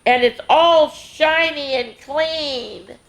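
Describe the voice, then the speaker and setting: Storybook puppy, young male, excitable and childlike, high voice performed by a woman. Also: drawn-out words, exaggerated voice. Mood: excited.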